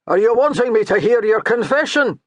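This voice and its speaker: A man's voice: high-pitched, expressive, normal speed, a bit bright, no room sound, pitched above the performer's own voice. A man voicing a dour, mocking Scottish priest with a thick Scottish brogue. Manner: baiting, stern, mocking.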